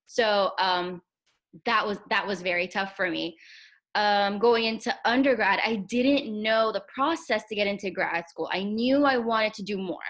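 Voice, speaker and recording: A woman's voice: medium pitch, moderate pace, fairly bright tone. A young woman from Egypt, a chiropractor guest, talking candidly about her studies and laughing often. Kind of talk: casual interview conversation.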